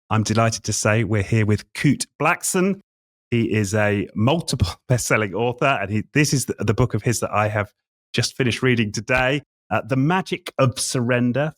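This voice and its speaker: A middle-aged man hosting a conversational interview podcast, chatty and a little halting as he rephrases his sentences. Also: medium pitch, no echo, studio quality. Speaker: podcast host.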